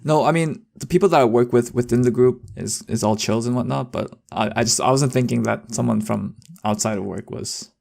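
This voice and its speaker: A man's voice: mid-range voice, fairly quick, vocal fry, few pauses, a little mellow. A young man co-hosting a podcast, telling anecdotes in casual, slangy, rambling talk. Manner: informal, rambling. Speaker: podcast co-host.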